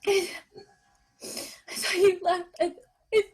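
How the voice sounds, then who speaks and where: An actress playing a desperate, grief-stricken mother in a horror screenplay read, with a halting, emotional delivery. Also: very high voice, flat delivery. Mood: sobbing, remorseful. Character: desperate, grief-stricken young mother fighting an unkillable killer.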